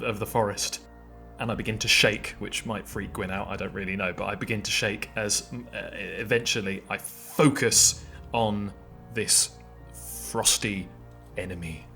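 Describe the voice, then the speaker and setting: A fairly low-voiced man improvises as a player in a tabletop roleplaying game. His delivery is conversational and halting, often repeating words as he builds a description, with faint music behind him. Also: fairly bright tone. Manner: halting, feeling out phrases with repetitions.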